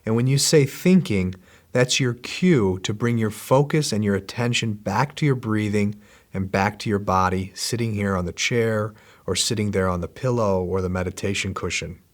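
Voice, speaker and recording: A man with a lowish voice leads a guided meditation, talking in calm, unhurried instructions. He repeats each step gently and patiently, sounding middle-aged. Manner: slow, gentle, repetitive instructions. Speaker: meditation teacher.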